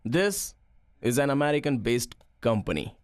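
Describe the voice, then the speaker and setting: An actor playing a brisk, encouraging male call centre trainer in film dialogue. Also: mid-range voice, very animated, no room sound, close to an everyday voice. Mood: firm, encouraging.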